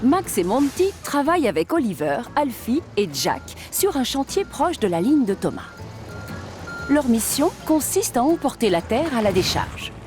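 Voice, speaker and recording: A woman's voice: sits high, big pitch swings, normal speed. A woman, reading scripted narration for a children's cartoon. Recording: music behind the voice.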